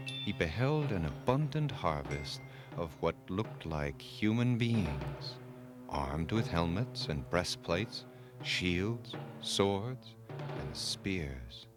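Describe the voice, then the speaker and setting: Low-voiced male storyteller, dramatic and measured. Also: big pitch swings, over music. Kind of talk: scripted children's myth narration.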